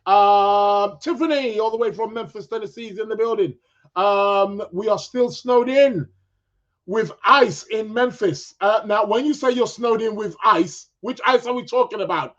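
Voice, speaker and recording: A chatty man hosts a live stream with a fairly high voice that sounds croaky and a little stuffed up. He sounds upbeat and greets his listeners one by one. The recording is dull and lo-fi. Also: measured pace. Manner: chatty, upbeat, gives viewers shout-outs. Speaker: podcast host.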